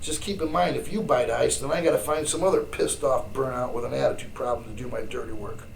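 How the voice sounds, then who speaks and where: An actor playing a gruff, sardonic rebel mentor with folksy, brusque speech in a radio drama. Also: male voice, medium pitch, steady tone, fast, a little hiss or hum, close to an everyday voice, low-quality recording. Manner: folksy slangy, wry, brusque.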